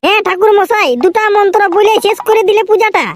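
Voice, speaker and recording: A man voicing a pushy, impatient village student in a comic cartoon, with a Purulia Bengali accent and a pitched-up voice. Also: steady tone, fast talker. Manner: demanding, rustic. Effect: pitch-shifted up. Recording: clearly noisy track with clicks.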